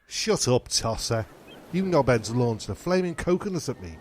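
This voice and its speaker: A man's voice: medium pitch, big pitch swings, moderate pace, darkish tone, a little hiss or hum, lightly acted. A man playing a melodramatic, self-pitying, foul-mouthed castaway comedian in a comedy radio play. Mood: ranting, anguished. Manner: theatrical, exclaiming.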